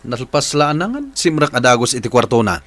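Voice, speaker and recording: A man playing an easygoing husband and protective older brother in a radio drama, casual and unhurried, with an Ilocano accent. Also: mid-range voice, big pitch swings, fairly bright tone.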